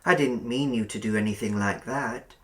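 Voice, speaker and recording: A man's voice: lowish voice, normal speed, lightly acted. A man voicing a polite, earnest, slightly puzzled seventeen-year-old boy in an audiobook, with a hesitant manner. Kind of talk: audiobook character acting.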